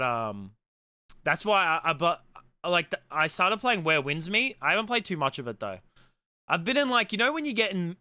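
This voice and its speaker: A man's voice: medium pitch, sharp tone, clean tone, dry recording. A man in his late twenties with a mild Australian accent, chatting casually as a streamer and answering viewer comments in a blunt, profane way, with lots of fillers. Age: late 20s.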